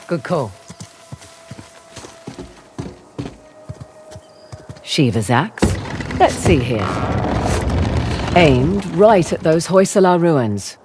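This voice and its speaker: A woman's voice: low voice, heavily acted. A woman playing a brash, wry treasure hunter in a video game, with flippant, teasing banter. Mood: focused, musing. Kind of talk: naturalistic video game voice acting.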